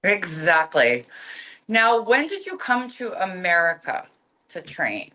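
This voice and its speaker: A woman hosting an informal interview, putting her introductions and questions deliberately, phrase by phrase. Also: fairly low pitch, sing-song, bright, forceful tone, poor audio.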